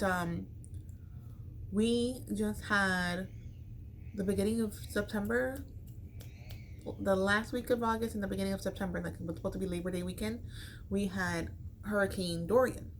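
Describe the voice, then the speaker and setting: An American woman speaks in a medium-pitched voice, as if chatting with friends on her vlog. Her delivery is informal and rambling, sprinkled with slang. A faint layer of background noise sits under the recording. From Chicago, United States. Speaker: vlogger. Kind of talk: casual chat to camera.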